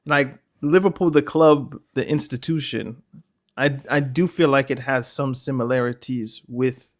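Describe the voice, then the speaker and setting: Measured man gently pushing back in chat. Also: mid-range voice. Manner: measured, hedging, thinks aloud with fillers. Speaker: podcast co-host. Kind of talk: casual football discussion.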